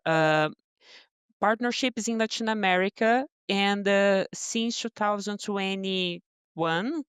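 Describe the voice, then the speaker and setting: A woman from Brazil with a Brazilian accent, answering interview questions with many pauses, fillers and restarts. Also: medium pitch, clean tone, no room sound, studio quality. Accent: Brazilian Portuguese. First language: Portuguese. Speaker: guest, brand partnerships director.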